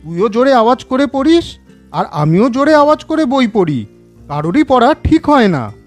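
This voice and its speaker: A woman's voice: fairly high pitch, big pitch swings, moderate pace, darkish tone, faint music behind. A woman voicing a young schoolboy, a little brother who grumbles and pleads in a children's cartoon. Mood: grumbling, coaxing.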